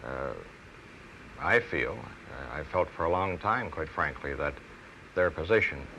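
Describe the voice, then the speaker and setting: Middle-aged male ex-pilot, extremely low voice with a sharp edge, formal and halting in interview. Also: animated, dull audio. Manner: halting, formal, careful wording. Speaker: former airline pilot. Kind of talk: measured interview. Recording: faint noise, 1970s archive TV film.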